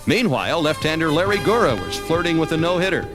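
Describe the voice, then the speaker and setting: A man's voice: a little high, sing-song, fast. An American man reading scripted narration for a baseball season recap. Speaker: documentary narrator. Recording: music under the voice. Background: background music.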